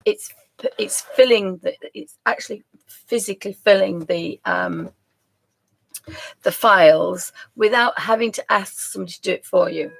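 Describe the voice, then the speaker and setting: A croaky woman hosts a home live stream, talking in a loose, rambling way that often breaks into laughs. Her voice has a gravelly, rough edge and sits at an ordinary middle pitch. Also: normal speed, rough recording. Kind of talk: casual live-stream chat.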